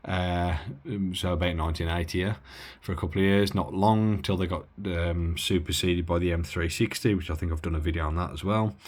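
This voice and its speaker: Low-voiced man rambling about gear. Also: fairly even intonation, brisk, hardly any pauses. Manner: rambling, self-correcting, lots of filler. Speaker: radio hobbyist reviewing gear. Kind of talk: casual bench-test walkthrough.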